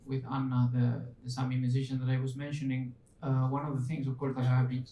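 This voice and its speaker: A man's voice: monotone, quick pace, dark tone. A man who speaks English as a second language, reflecting hesitantly during a panel discussion, searching for his words. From outside Finland. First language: not English. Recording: echoey room, distant mic, low rumble.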